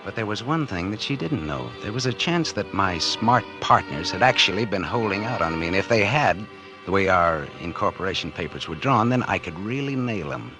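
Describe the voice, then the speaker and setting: A man's voice: deep voice, expressive, moderate pace. A man playing a bitter, scheming publisher in a 1940s radio drama, sardonic and slangy, with an American accent and a hard-boiled delivery. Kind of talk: 1940s radio acting, first-person noir narration. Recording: old 1940s radio recording with music.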